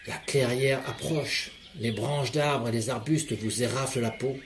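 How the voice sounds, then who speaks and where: A man leading a guided relaxation session in a calm, measured voice, speaking directly to the listener. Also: medium pitch, a little hiss or hum. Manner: calm, measured second-person guiding. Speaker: hypnotherapist guide. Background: soft background music.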